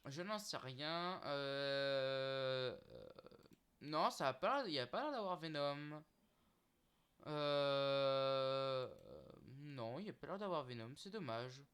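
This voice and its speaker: A man's voice: mid-range voice, expressive, slow pace, fairly bright tone, smooth. A young man talking casually to his viewers in a vlog, hesitant, full of fillers and restarts, calling them guys. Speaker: YouTuber listing streaming titles. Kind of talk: casual unscripted vlog talk.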